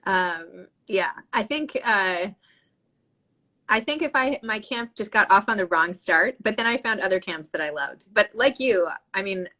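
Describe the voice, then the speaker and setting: A woman hosts a book podcast over a video call. She talks casually with plenty of "like" and "um", laughs at herself, and keeps a mid-range pitch. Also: lively intonation, measured pace, pushed and bright. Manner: conversational, filler-heavy, self-deprecating. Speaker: podcast host. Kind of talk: casual interview chat.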